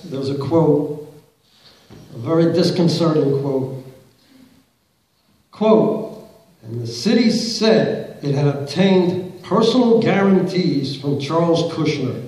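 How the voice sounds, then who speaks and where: A man's voice: fairly high pitch, a little mellow. A middle-aged man making a public comment at a council meeting, reading quotes aloud and firing pointed yes-or-no questions from the podium. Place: large council chamber, indoors. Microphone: podium mic, recorded by a far camera in the audience. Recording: very echoey hall from far off.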